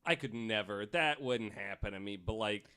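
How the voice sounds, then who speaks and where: A man's voice: lowish voice, normal speed, pushed and bright, clear, resonant tone. A man co-hosting a podcast in casual banter, dropping wry, dry interjections. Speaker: podcast co-host.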